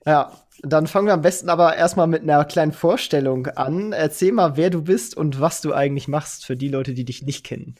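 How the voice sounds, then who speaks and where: A man hosting a podcast, reading a scripted intro and then asking brisk, upbeat interview questions. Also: a little high, a little mellow. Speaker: podcast host.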